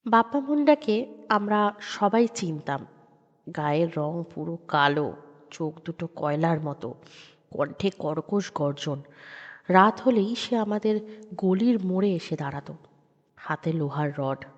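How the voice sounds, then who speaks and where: Female horror narrator, suspenseful and measured, dull recording with heavy reverb. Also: fairly low pitch, expressive. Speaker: audio story narrator. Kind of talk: dramatic scripted horror narration. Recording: dull sound with long reverb.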